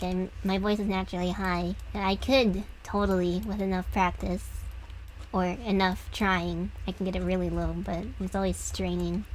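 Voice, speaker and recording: A young woman streaming as she draws, talking softly and lower than usual, hesitant and often trailing off. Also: slight background noise, low-quality recording. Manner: soft, hesitant, trailing off with restarts. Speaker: streamer drawing commissions. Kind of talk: casual reflective chat while drawing.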